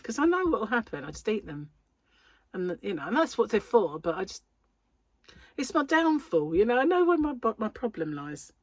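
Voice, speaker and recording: A woman's voice: medium pitch, sing-song, moderate pace. A middle-aged woman with a British accent talks casually to her viewers, poking fun at herself and laughing often. Her voice swings up and down a lot, and a slight wheeze sits under her breathing. The sound is close and dry, with no room echo. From United Kingdom. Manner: chatty, self-deprecating, laughs often. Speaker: crochet channel host. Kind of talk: casual chat to camera.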